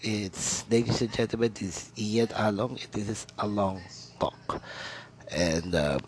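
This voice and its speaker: A man's voice: fairly low pitch, unhurried, a little hiss or hum. A Filipino man whose first language is Tagalog, hosting a solo podcast in English, rambling with many fillers and restarts. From Philippines. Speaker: podcast host.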